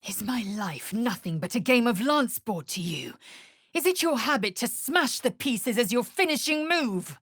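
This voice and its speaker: A woman voicing a fierce, haughty young alien warrior in a video game, with a husky, breathy rasp and a clipped, imperious delivery. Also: fairly high pitch, sing-song, moderate pace, a bit bright, no echo, clean studio sound, exaggerated voice. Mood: anguished, indignant. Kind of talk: video game character voice lines.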